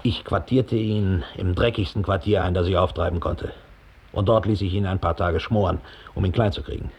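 A man's voice: low voice, steady tone, normal speed, a little mellow, husky. An actor playing a cynical, smug former Gestapo officer telling his story with open scorn in a 1960s German radio drama. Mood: contemptuous, grudging.

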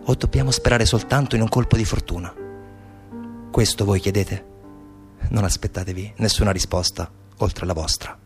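A man giving a theatrical monologue as a stage narrator, measured, with deliberate pauses and repetitions. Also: on the low side. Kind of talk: theatrical monologue, storytelling and recitation. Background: instrumental music.

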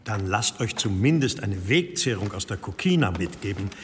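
An actor playing a solemn medieval abbot in a German audio drama. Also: male voice, lowish voice, lively intonation, normal speed, in a large hall, slight background noise, natural voice.

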